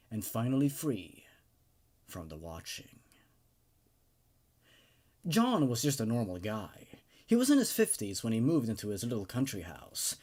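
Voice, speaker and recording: A man who hosts horror story readings, narrating dramatically and commenting casually in an expressive, emphatic way. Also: mid-range voice. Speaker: host reading and reviewing horror stories.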